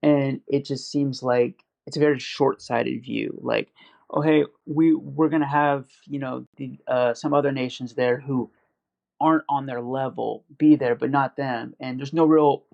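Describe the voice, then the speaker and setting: Gravelly man rambling on a podcast. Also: medium pitch, fairly even intonation, a little slow, a little mellow. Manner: rambling, many "like" fillers and restarts. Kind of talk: casual podcast chat. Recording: dull lo-fi audio.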